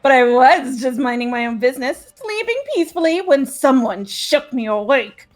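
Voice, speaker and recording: Woman doing a high, theatrical killer girl, cheerfully deranged. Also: expressive, normal speed. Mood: gleeful.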